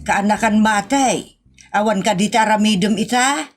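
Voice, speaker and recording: A woman's voice: medium pitch, a little slow, faint music behind, lightly acted. A woman with an Ilocano accent playing a grumbling, fault-finding villager in a comedy audio drama, colloquial and complaining. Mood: nagging.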